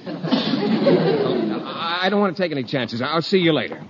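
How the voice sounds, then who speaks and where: An actor playing a shy, mild-mannered, easily flustered schoolteacher, hesitant and polite, in 1950s radio comedy. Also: male voice, on the high side, wildly swooping pitch, measured pace. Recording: muffled, noisy 1950s radio recording.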